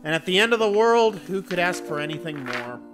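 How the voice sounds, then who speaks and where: Lively, wry survivor played by a woman. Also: lowish voice, a little fast, over music. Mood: wry, resigned. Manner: casual, wry. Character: wry, uneasy member of a besieged group. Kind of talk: scripted web film dialogue.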